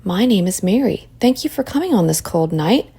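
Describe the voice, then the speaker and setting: A woman voicing a gentle, kind young mother with a soft, tender manner in a storybook. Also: medium pitch, animated, moderate pace, slightly rough.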